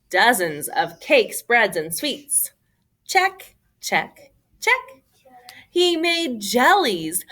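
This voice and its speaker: A woman reading a children's picture book aloud as a storyteller, with an expressive, emphatic, theatrical delivery. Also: fairly high pitch.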